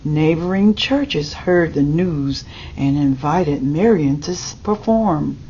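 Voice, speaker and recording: A woman reads a children's story in a deliberate, unhurried manner. Her voice sits low for a woman and takes its time. The recording is a little dull, with some noise behind her. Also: animated, mellow. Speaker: storybook reader. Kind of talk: reading a children's picture book aloud. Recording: dull lo-fi audio with background noise.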